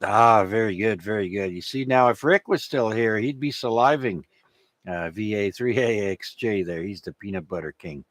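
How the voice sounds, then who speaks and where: Man, deep voice, French native speaker, radio net host, rambling casual chat, dry sound. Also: moderate pace, fairly bright tone, dry recording.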